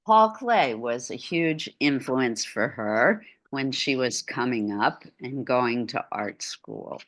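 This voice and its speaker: A woman's voice: extremely low pitch, lively intonation, slow pace. A middle-aged woman giving an art lecture over a video call from a room at home, with chatty asides.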